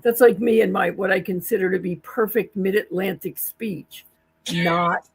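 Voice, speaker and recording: A woman co-hosting a casual livestream chat, talking in a chatty, animated way. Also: mid-range voice, moderate pace, gravelly, husky. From New Jersey.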